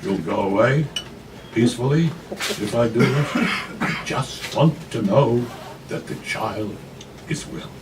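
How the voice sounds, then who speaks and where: A man's voice: medium pitch, takes it slowly, long pauses, lightly acted. A man voicing a shrewd, amiable, stout female brothel madam in a dramatic reading, haggling theatrically and repeating phrases for emphasis. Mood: guarded. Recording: noisy distant live café recording.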